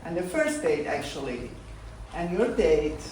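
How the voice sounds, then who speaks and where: Brief: Iranian woman, 40s, slow lively delivery, roomy hall sound from a distant mic. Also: deep voice. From Iran. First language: Persian. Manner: slow, halting non-native English with laughs. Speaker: storyteller. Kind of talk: live storytelling on stage. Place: live event hall. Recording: echoey room, mic from far off, faint noise.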